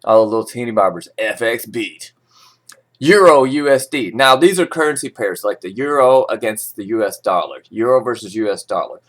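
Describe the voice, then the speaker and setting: A man's voice: fairly low pitch, lively intonation, moderate pace, a bit bright. A man talking casually and digressively, mixing read-out financial news with his own opinionated, informal asides. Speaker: vlogger reading forex news with commentary. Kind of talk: casual rambling talk mixed with read-out news.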